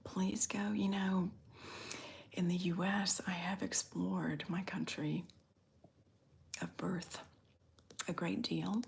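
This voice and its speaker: Slow, gravelly whispering Southern woman. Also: fairly low pitch, little pitch movement. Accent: slight Southern US. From Southern United States. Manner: soft unvoiced whisper, unhurried and drawn-out. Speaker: ASMR streamer reading viewer chat. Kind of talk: ASMR whispered live chat.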